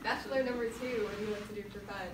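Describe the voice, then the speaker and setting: A woman's voice: mid-range voice, fairly even intonation, rapid-fire, crowd noise. A teenage girl playing host of a dating game in a school improv show, upbeat and giggly. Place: school classroom. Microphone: far camera. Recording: echoey classroom from far off, noisy.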